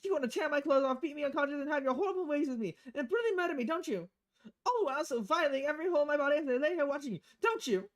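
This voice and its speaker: Woman doing a frantic, high-pitched paranoid young woman. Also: expressive, racing. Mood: hysterical. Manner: frantic, stammering, accusatory. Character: panicked, paranoid woman waking in a bar.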